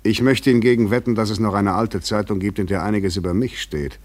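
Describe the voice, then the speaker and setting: An actor playing an overwrought, weary fugitive in a German radio play, speaking in an articulate, insistent, rhetorical manner. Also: male voice, fairly low pitch, fairly even intonation, brisk, darkish tone, lightly acted. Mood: provocative, insistent. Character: overwrought, weary, probing male fugitive intruder.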